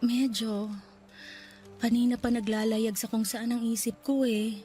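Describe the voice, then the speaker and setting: An actress playing a gravely ill young woman, tender and loving, speaking softly and pleading in a radio drama. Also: a little high, flat delivery, measured pace, soft background music, close to an everyday voice. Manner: soft and pleading, voice breaking into tears.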